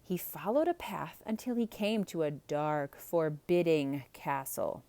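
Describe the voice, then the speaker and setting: A woman's voice: low voice, lively intonation, a little slow, dark tone, dry recording. A woman storyteller narrating a children's tale as a theatrical read-aloud.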